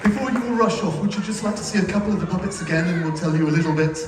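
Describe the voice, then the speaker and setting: A man speaking live to an audience as puppeteer and presenter, gracious and slightly halting in his delivery. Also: high-pitched. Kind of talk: live address to an audience after a show. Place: large indoor cultural hall before an audience. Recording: echoey hall, distant mic, crowd and music.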